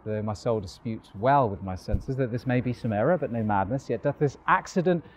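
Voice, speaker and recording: A man performing a bewildered, exhilarated young Shakespearean gentleman in love, in a declamatory classical stage monologue. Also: medium pitch, natural voice. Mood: awed, bewildered. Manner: declamatory, deliberate, marveling. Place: outdoors, park bench. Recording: noisy outdoor recording with passing vehicles.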